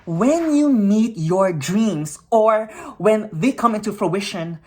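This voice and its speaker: A woman's voice: medium pitch, lively intonation, slow, a little mellow. A woman from the Philippines giving a makeup tutorial, upbeat and hyper, tossing in peppy "you know what?" and "yes!" asides between encouraging thoughts. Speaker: makeup vlogger. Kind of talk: makeup tutorial with motivational talk.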